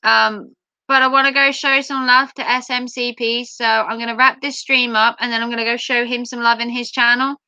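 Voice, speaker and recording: A chatty, playful woman talks to her livestream viewers in a high, bright voice that is starting to go hoarse. The sound is dry and close, with a slightly dull, muffled quality. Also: no room sound. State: voice going hoarse. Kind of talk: casual chat with viewers.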